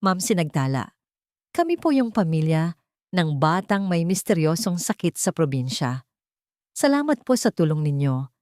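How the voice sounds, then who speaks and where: Humble provincial parent voiced by a woman, Filipino accent, slightly low, respectful and grateful audiobook delivery. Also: sing-song, moderate pace, darkish tone, no echo, clean studio sound. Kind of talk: audiobook character dialogue.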